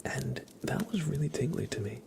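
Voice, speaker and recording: A man whispering and speaking softly and breathily as an ASMR livestream host, gentle and halting, with frequent pauses. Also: animated. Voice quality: breathy whisper mixed with low soft voice. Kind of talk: whispered ASMR, part role play, part casual chat.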